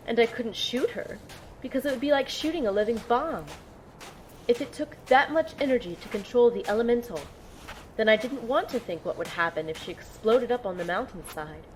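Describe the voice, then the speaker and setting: A woman's voice: on the high side, slight background noise, close to an everyday voice, low-quality recording. Woman acting a determined young operative narrating. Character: determined young female field medic and team operative narrating her mission. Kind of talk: first-person audio drama narration with dialogue.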